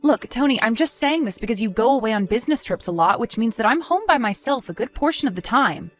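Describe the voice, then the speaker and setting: A woman's voice: on the high side, animated, fast, soft background music, lightly acted. A woman playing a caring, cautious and practical wife, fussing conversationally in an audio drama. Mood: worried, insistent.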